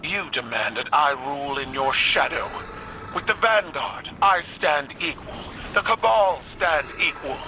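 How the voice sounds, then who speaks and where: A woman playing a proud, stern alien warrior empress in a video game, speaking formally with measured command and defiance. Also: deep voice, lively intonation, bright, forceful tone, slight background noise, exaggerated voice. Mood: defiant. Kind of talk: scripted video game dialogue.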